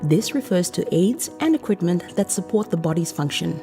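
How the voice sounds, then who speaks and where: Lower-pitched woman narrating over music. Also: expressive, normal speed. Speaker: training film narrator. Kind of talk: scripted instructional narration. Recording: music behind the voice. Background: background music.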